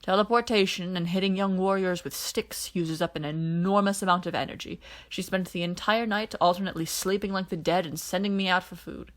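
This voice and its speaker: A woman's voice: fairly low pitch, fairly even intonation, lightly acted. Woman voicing a wry, weary alien man. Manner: dry, measured, quiet explanations.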